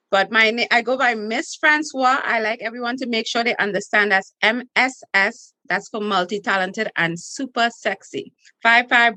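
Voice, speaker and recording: A woman's voice: mid-range voice, bright, forceful tone, no echo. A woman in her forties with a Trinidadian accent, a podcast guest talking in a brash, playful, boastful way. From Trinidad and Tobago. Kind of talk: podcast interview.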